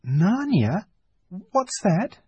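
A man's voice: sits high, big pitch swings, extremely slow, warm tone, slightly rough, no room sound, highs cut off, pitched above the performer's own voice, heavily acted. A man voicing a polite, innocent and puzzled young girl in a storybook, speaking simply and hesitantly.

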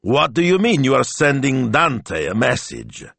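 A man voicing an excitable, anxious shopkeeper with a flustered, urgent, over-polite way of talking in an audiobook. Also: fairly low pitch, measured pace, creaky, breathy edge, no room sound, pitched above the performer's own voice, exaggerated voice. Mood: alarmed, incredulous. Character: excitable, anxious, fussy male Italian shop owner.

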